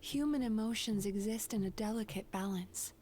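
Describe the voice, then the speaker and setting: An actress playing a calm, resolute young woman, a gentle nightmare healer in a video game, speaking in a composed, earnest way. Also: mid-range voice, normal speed, slight background noise, natural voice. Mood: grave.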